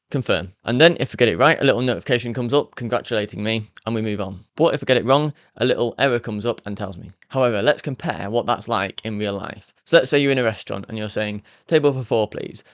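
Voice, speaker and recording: Guy running a language learning channel, talks quick and upbeat, dry close sound. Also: medium pitch, no echo. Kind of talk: explainer talking to camera.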